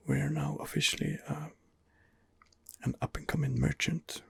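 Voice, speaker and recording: A man giving ASMR game commentary in a breathy, husky whisper, hesitant and rambling as he muses his way along. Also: fairly high pitch, level tone, moderate pace, fairly bright tone. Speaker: video game streamer, channel host.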